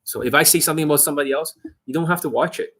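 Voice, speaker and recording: A man's voice: on the high side, rapid-fire, fairly bright tone, slightly rough. A young man and dating coach delivering a blunt, swearing monologue full of rhetorical questions to his viewers. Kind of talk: ranting monologue to camera.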